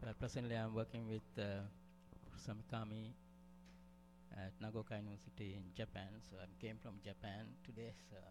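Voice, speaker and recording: A man's voice: lowish voice, little pitch movement, fairly quick, background noise. A man from Sri Lanka giving a conference presentation, hesitant, with many fillers and restarts. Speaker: conference speaker.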